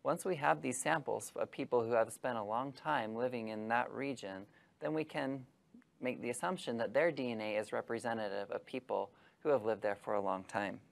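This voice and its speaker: Man explaining with a gravelly edge. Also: mid-range voice, normal speed. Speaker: genetics scientist presenting. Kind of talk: scripted explainer. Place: studio set.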